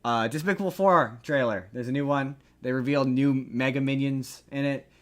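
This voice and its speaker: A man hosting a podcast, chatting casually through entertainment news in a rambling way with animated asides. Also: medium pitch, moderate pace. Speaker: podcast host.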